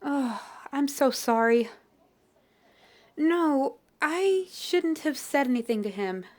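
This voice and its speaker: A woman voicing a shy, humble young peddler flower girl in a fantasy audio roleplay, speaking softly and politely with old-fashioned phrasing. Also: high voice, animated, takes it slowly. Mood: warm, grateful.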